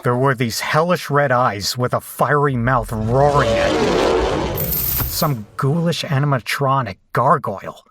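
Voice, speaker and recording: A man's voice: mid-range voice, unhurried, heavy background noise, lightly acted. A man playing a brash, rattled young rocker in a horror audio drama, colloquial, incredulous and foul-mouthed. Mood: shaken narration. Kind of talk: horror audio drama acting and first-person narration.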